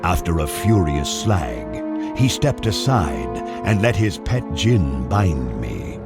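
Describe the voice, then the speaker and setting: A man voicing a big, proud, menacing arena warrior who speaks in a slow, pompous, theatrical way with a gravelly voice. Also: very animated, over loud music, full character voice. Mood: haughty, menacing. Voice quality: deep, gravelly. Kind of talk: fantasy game movie voice acting.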